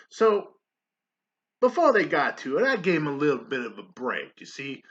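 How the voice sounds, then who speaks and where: A man playing a folksy army staff sergeant and mess cook, telling his story in a theatrical monologue with pauses and emphatic swells. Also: medium pitch, pushed and bright. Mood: wry, reminiscing. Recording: dull, lo-fi sound.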